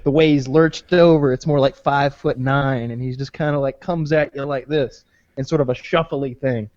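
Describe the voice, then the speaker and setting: A man's voice: mid-range voice, steady tone, moderate pace, darkish tone, dull audio. A man co-hosting a podcast, chatting casually and crudely, rambling with many restarts and fillers. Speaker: podcast co-host. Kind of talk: casual comedic chat.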